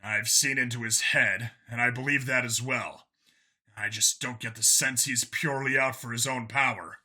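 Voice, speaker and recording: A man voicing a firm, principled police team commander in measured, serious audiobook dialogue. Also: mid-range voice, steady tone, sharp tone. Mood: pensive, probing.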